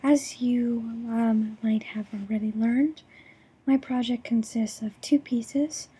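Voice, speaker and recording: A young woman with a hoarse, raspy voice after throat surgery, presenting her course project over a screen recording with many ums and restarts. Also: a little high, fairly even intonation, slow pace, warm tone. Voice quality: raspy, breathy.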